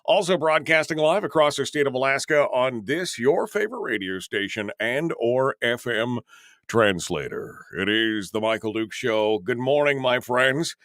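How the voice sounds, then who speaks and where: A male talk radio host rambles through his show rundown with lots of fillers, jokey asides and self-deprecating remarks. His voice sits at an ordinary pitch and sounds close and dry, with no room around it. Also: expressive, normal speed, fairly bright tone, no echo. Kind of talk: casual live talk radio. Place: radio studio.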